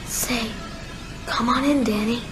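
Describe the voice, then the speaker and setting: A woman acts an undead young boy in a horror radio play. The voice is very high and drawn out, sinister and hypnotically insistent as it coaxes and commands. Music plays clearly underneath. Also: fairly even intonation. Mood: eerie coaxing. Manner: slow, insistent, hypnotic coaxing. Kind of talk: radio horror drama acting.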